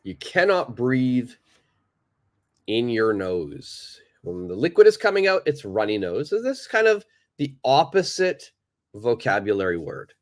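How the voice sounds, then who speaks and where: A man teaching English in a live online lesson, who speaks slowly and deliberately, repeats key words for his learners, and has a stuffed-up nose. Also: mid-range voice, very animated.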